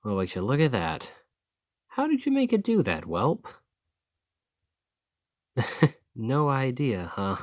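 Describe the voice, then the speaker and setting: A man's voice: mid-range voice, huge pitch swings, slow pace, pauses often, no echo, dull audio. A man playing a roguish bandit leader in an ASMR roleplay, teasing and grudgingly impressed, with folksy turns of phrase. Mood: curious, teasing. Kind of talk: ASMR roleplay acting, one-sided dialogue.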